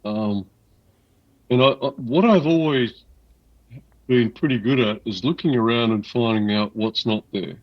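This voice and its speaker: A man's voice: medium pitch, unhurried, long pauses, dry recording, dull audio. An older man telling stories as an interview guest, reminiscing in a casual conversational way. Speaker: interview guest, loudspeaker designer.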